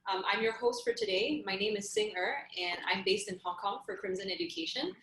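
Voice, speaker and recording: A woman's voice: mid-range voice, brisk, fairly bright tone, echoey room. A woman from Singapore, hosting a video call and introducing judges, upbeat and full of "um" fillers. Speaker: host.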